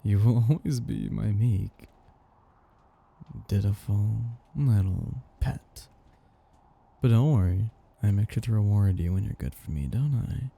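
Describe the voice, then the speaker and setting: A man voicing a seductive, possessive vampire master in an ASMR roleplay, breathy and gravelly, speaking in a slow, gently domineering, teasing way. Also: lowish voice, exaggerated voice. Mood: smug, teasing. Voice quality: breathy, gravelly, often dropping into whispers. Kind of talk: ASMR roleplay, intimate scripted monologue.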